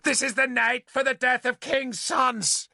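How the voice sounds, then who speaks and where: A man voicing a fierce, fanatical pagan priestess in an audiobook, shrill, belligerent and sneering. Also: steady tone, measured pace, gravelly, bright, forceful tone, no room sound, studio quality, full character voice. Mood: sneering, menacing. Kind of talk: dramatic audiobook character voice.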